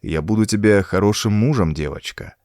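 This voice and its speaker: A laconic, protective male hero played by a male audiobook narrator speaks in a low voice. He is terse, steady and reassuring, recorded dry and close. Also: a little fast, dry recording, close to an everyday voice. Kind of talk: audiobook character dialogue.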